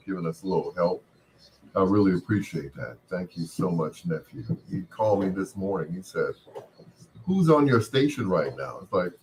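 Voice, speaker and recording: A man with a deep, booming voice, hosting a late-night radio show in a relaxed, chatty way through a processed studio mic. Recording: noisy background with booming fireworks. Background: firecrackers booming.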